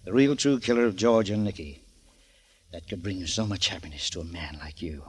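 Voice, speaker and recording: A lowish male voice plays a sly, shady lawyer in a vintage radio crime drama. He is formal and precise, dropping florid phrases with sardonic, insinuating courtesy. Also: brisk, a little mellow, breathy edge. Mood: tempting, sly. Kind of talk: 1950s radio crime acting.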